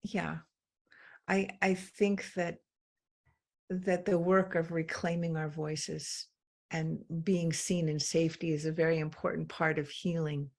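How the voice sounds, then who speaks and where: A woman from England, a guest in a conversation, speaking reflectively with frequent pauses and ums. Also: low-pitched, little pitch movement. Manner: slow, thoughtful, with many pauses and ums. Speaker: interview guest.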